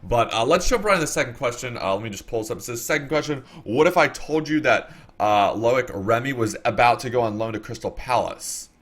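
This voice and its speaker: A man's voice: medium pitch, lively intonation, a little fast, hardly any pauses, fairly bright tone. A man appearing as a guest, giving casual soccer opinions in a rambling way, repeating words and leaning on fillers. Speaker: guest YouTuber.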